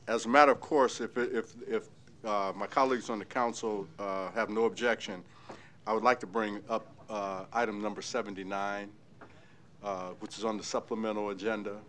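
A man with a Midwestern American accent chairing a city council meeting, halting and full of fillers, at a desk mic in a large chamber. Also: on the low side, fairly bright tone, slight background noise. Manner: halting, many fillers and restarts. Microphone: desk microphone.

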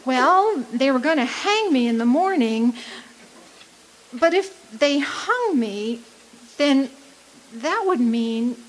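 A woman's voice: sits high, expressive, slow, pauses often, noisy background. A woman acting in a radio drama as a feisty, bewildered gold-rush singer, with a theatrical, plaintive manner. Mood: dawning dread. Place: live performance at a community venue.

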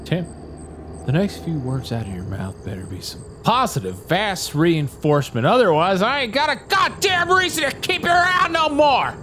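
A man's voice: sits high, very animated, normal speed, bright, forceful tone, slight background noise, exaggerated voice. A man playing a menacing kidnapper. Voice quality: gruff, threatening. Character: menacing short-tempered male kidnapper driver.

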